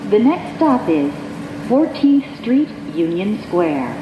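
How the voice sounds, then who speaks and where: A woman reading scripted automated transit announcements with measured enunciation, recorded inside a rumbling subway car. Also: very animated, dull audio. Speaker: train announcer. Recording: roomy, distant, loud train noise.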